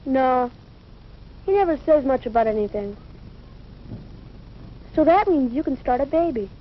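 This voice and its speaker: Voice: high voice, expressive, measured pace. A young performer playing a curious, naive teenage schoolboy who asks plain questions in an educational film. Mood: puzzled. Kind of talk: scripted educational film dialogue. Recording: dull old film soundtrack, faint noise.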